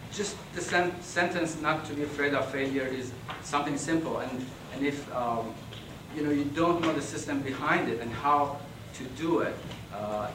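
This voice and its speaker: A man giving a hesitant acceptance speech with many pauses and a flat, monotone delivery. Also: mid-range voice. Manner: hesitant, many ums, self-described monotone. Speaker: award recipient. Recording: echoey hall, distant mic, background noise.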